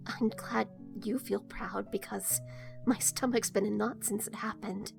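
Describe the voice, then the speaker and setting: A woman's voice: medium pitch, fairly even intonation, measured pace, creaky, over music. A woman acting in an audio drama as an earnest, uneasy teenage daughter, hesitant, subdued and confessional.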